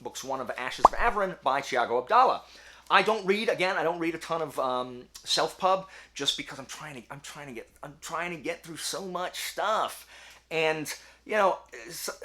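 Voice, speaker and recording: A man talking casually to camera as a book channel host, emphatic and animated, with a self-deprecating way of speaking. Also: fairly high pitch. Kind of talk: casual talk to camera, answering book tag questions.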